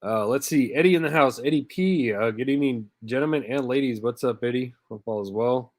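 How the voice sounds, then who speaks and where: A man's voice: medium pitch, a little fast, darkish tone, dry recording. A man in his thirties hosting a live diecast car stream, stuffy from a head cold and chatting casually as he greets viewers by name. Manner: laid-back, chatty, greets viewers by name with little laughs.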